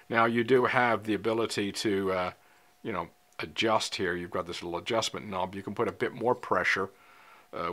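A man in his sixties talking casually to the camera in a studio, chatty and relaxed, with many ums and uhs. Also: fairly low pitch. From Canada. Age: 60s. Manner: chatty, frequent ums and uhs, gestures with hands. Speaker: fly fishing vlogger. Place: indoor studio, seated in front of a screen.

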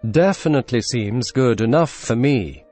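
A young man with a Russian accent narrating a software tutorial in a deadpan, dryly absurd scripted voice-over. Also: mid-range voice, moderate pace, faint music behind. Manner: deadpan, dry absurd humor.

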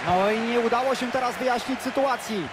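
A man doing play-by-play commentary for a football video game, lively and bursting out on goals. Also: extremely high pitch, a little fast, very noisy. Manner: excitable, erupts on goals. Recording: loud stadium crowd behind.

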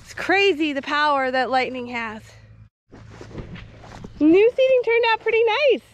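A young woman with a high, light voice vlogs outdoors along woods and fields, holding the camera at arm's length. She talks in a chatty, easygoing way and explains what she sees as she walks. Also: big pitch swings, unhurried, a little hiss or hum. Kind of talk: casual vlog narration. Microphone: handheld camera at arm's length.

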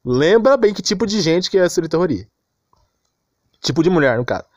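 A Brazilian man in an informal commentary rant, with lively, swinging intonation. He sounds exasperated, repeats himself and leans on street slang. The sound is close and dry. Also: fairly high pitch, brisk, dry recording. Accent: Brazilian Portuguese. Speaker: anime commentary YouTuber.

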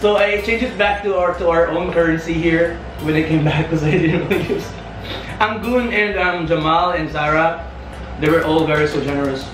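A man's voice: a little high, steady tone, fairly quick. Filipino man chatting in an echoey room. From Philippines. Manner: chatty, upbeat, laughs often, talks while eating. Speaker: vlogger. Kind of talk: casual food tasting chat. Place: indoors in a small room, seated at a table. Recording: echoey room from a distance with music.

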